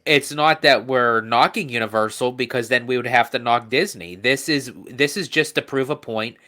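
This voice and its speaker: A man co-hosting a podcast, with a nasal voice stuffed up by allergies, easygoing and quick to laugh. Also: mid-range voice, pushed and bright. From Pennsylvania. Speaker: podcast co-host. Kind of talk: casual chat.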